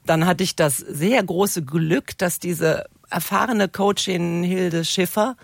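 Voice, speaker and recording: A woman in her sixties with a gravelly, creaky edge to her voice tells her story in a thoughtful, measured way. She speaks as a retirement coach and guest on a radio interview. The sound is close and dry, with no room around it. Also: on the low side, no echo. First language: German. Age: 60s.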